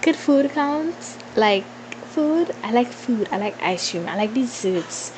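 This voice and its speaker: Female vlogger, twenties, Trinidadian accent. Slow, informal Q&A chat with playful asides, some background noise. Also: fairly high pitch, lively intonation, poor audio. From Trinidad and Tobago. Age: 20s. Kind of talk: casual Q&A chat to followers.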